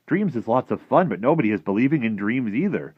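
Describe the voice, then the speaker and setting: A man voicing a huge, gentle, gloomy giant who talks in muddled grammar and invented words for a children's storybook. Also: mid-range voice, sing-song, quick pace, breathy edge, no room sound, phone-quality sound, pitched above the performer's own voice. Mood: scornful, doubting. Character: huge, gentle, gloomy friendly giant with garbled rustic speech. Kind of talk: animated children's storybook character reading.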